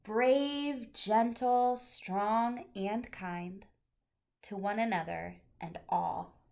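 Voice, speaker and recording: A woman teacher reading a picture book aloud to young children, with deliberate phrasing and chatty asides to her listeners. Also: medium pitch, gravelly, darkish tone.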